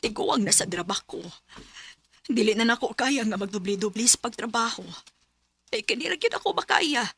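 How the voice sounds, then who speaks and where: A weary older mother, with a husky and fairly high voice. She sounds worn down by work, in the manner of a Filipino radio drama. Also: expressive, moderate pace, a bit bright, dry recording, heavily acted. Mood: weary, resigned. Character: weary, ailing older mother who peddles food.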